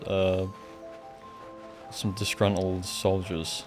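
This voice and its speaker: A man giving casual live gameplay commentary as a streamer, blunt and deadpan, in halting phrases. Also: sits low, music in the background. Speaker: game streamer.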